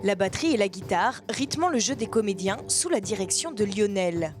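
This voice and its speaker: A woman reporter reading a scripted news voice-over in a clear, even manner. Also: mid-range voice, normal speed. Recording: voice-over with music and crowd noise.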